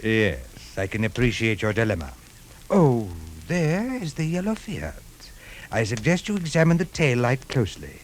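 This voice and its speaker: A man's voice: medium pitch, huge pitch swings, moderate pace. An actor playing a suave, middle-aged businessman in a 1950s radio drama, formal and polite with an insinuating manner. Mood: veiled menace. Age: 50s. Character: suave, menacing middle-aged Greek businessman with veiled threats. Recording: noisy 1950s radio recording, vehicle hum.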